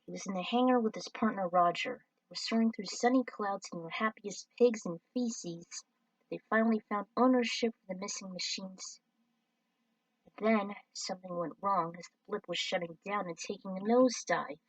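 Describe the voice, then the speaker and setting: A woman's voice: mid-range voice, normal speed, no room sound, recorded from a distance, low-quality recording. A woman reading her own fan story aloud in a casual, offhand way. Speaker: fan-fiction reader.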